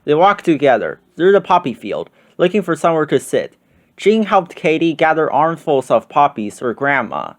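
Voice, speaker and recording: A man reading a children's storybook aloud as scripted, expressive narration. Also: fairly high pitch, moderate pace. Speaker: storybook reader.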